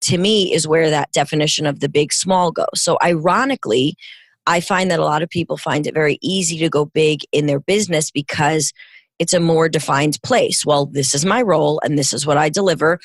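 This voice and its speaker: A middle-aged woman from New York with a New York accent and a raspy voice, hoarse from illness, talking emphatically and expansively as a podcast guest. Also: sits low, a little fast, no room sound. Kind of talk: casual interview chat.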